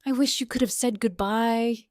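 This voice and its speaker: A woman's voice: a little high, steady tone, moderate pace, no room sound, clean studio sound, lightly acted. A woman playing an earnest, emotional adult stepdaughter in an audio story. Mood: tearful. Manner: trembling, earnest. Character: emotional woman meeting her former stepfather.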